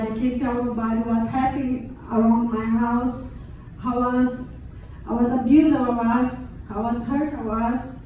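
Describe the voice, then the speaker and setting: A woman from Brazil with a Brazilian Portuguese accent gives a personal testimony to an audience, haltingly and in non-native English. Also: fairly high pitch, a little mellow. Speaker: survivor giving testimony. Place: large echoey room, before an audience. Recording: very echoey room, distant mic, faint noise.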